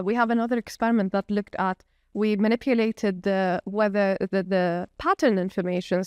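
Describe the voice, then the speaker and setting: A woman researcher describing her experiments at a conference, pausing often to search for words. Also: mid-range voice, a little mellow, clean tone, no echo. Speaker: researcher presenting her study. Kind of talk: conference panel discussion. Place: conference venue.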